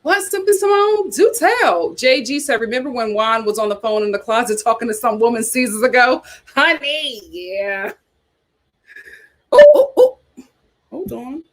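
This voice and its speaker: A woman's voice: a little high, animated, a little slow. A woman hosting a casual reality TV chat, reading viewer comments aloud with dramatic exclamations.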